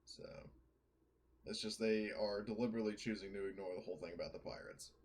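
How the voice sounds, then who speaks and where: A man's voice: on the low side, steady tone, quick pace. A man playing a tabletop roleplaying game, talking casually in and out of character in a blunt, argumentative way.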